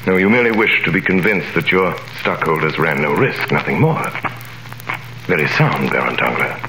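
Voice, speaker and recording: An actor playing a cool, wealthy, mysterious nobleman in a 1930s radio drama, suave, measured and quietly superior. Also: extremely low pitch, expressive, slight background noise, full character voice. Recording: echoey vintage radio recording with music.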